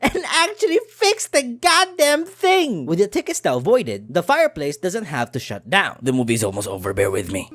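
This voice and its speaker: A woman's voice: lowish voice, huge pitch swings, normal speed, few pauses. A woman giving a casual, sweary film review commentary in a sarcastic, exasperated, blunt manner.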